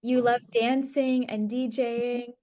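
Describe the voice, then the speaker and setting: A woman's voice: on the high side, level tone, slow pace, dark tone. A woman from Hawaii hosting a podcast, asking her guest questions over a video call in an enthusiastic, affirming way. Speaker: podcast host.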